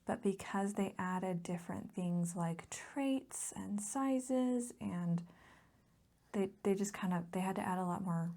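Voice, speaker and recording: A woman doing a casual, soft-spoken ASMR voiceover, hushed, gentle and informal, breathy at times. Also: mid-range voice, slow pace. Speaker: host of a board game ASMR channel. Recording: steady background noise from a laptop. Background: laptop fan noise.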